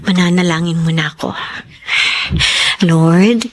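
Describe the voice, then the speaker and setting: An actress playing a hardworking, prayerful young woman and dutiful daughter in a Tagalog radio drama, speaking in an expressive, earnest way. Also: on the low side, slow.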